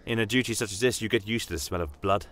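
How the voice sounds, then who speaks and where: A man's voice: lowish voice, fast, a bit bright, voice pushed up, natural voice. A man voicing a weary female battlefield healer from a fantasy tale, dry, sardonic and matter-of-fact.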